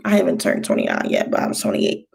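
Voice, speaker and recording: A woman's voice: extremely low pitch, wildly swooping pitch, fast talker, creaky, a little mellow. A thirty-year-old woman vlogger answering viewer questions in a loose, rambling, encouraging way, with slang. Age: 30s. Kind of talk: casual chat.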